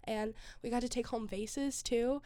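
A woman's voice: on the high side, fairly even intonation, measured pace, warm tone, dry recording. A young woman co-hosting a podcast in casual chat, a little congested from a cold, upbeat and full of fillers. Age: young adult. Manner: chatty, upbeat, many "like" fillers. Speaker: podcast co-host.

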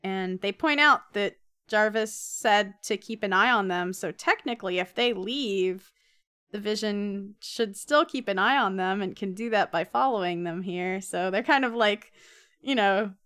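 A woman's voice: medium pitch, unhurried, pushed and bright, clean tone. A woman co-hosting a podcast in casual conversation, recapping a comic with teasing remarks and read-out dialogue. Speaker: podcast host.

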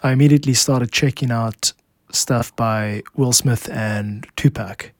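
A man with a South African accent, hosting a music podcast in a measured, explanatory narration. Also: medium pitch, no room sound, clean studio sound. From Cape Town, South Africa. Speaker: podcast host, music producer and composer.

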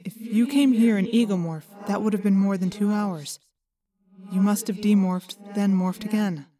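A woman voicing a calm, matter-of-fact boy who reasons things out, in an audiobook. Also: medium pitch, normal speed, faint music behind, lightly acted. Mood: calm, questioning.

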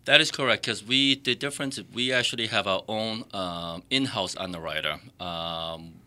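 A man's voice: fairly low pitch, moderate pace, bright, forceful tone. A man from the Boston area, a mortgage loan officer answering interview questions, hesitant and full of fillers and restarts. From Boston area, United States.

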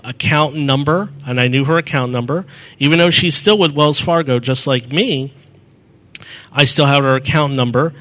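A man's voice: a little high, a bit bright. Guy on a handheld mic walking a room through an app, rambly, keeps restarting sentences. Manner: rambling, self-correcting, step-by-step explaining. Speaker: presenter answering audience questions. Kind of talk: live demo talk at a meeting. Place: meeting room with audience.

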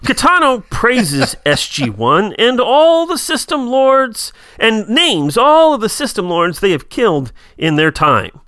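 Bright, animated guy recapping episodes, dry close sound. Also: sits high, dry recording. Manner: quick, lively retelling with asides. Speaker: podcast co-host. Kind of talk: casual chat, recapping an episode.

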